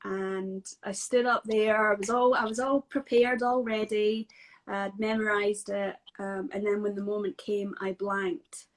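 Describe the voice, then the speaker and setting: A woman with a Scottish accent talks casually as a guest from home. She speaks at an ordinary middle pitch, pausing often with fillers and restarting her sentences. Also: level tone, low-quality recording. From Scotland. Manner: chatty and hesitant, many fillers and restarts. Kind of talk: casual video-call interview.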